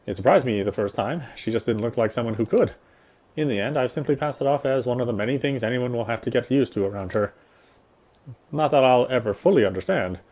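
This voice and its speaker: A man with a medium-pitched voice reads out the narration of a story game. He goes through it in a measured storytelling manner, like a player sharing the text with viewers. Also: darkish tone. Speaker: gameplay streamer reading aloud. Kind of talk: reading story narration aloud.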